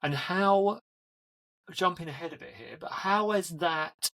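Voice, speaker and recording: A man's voice: on the high side, lively intonation, measured pace, gravelly, pushed and bright. A man hosting a podcast, asking long, winding interview questions in a friendly, conversational way. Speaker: podcast host.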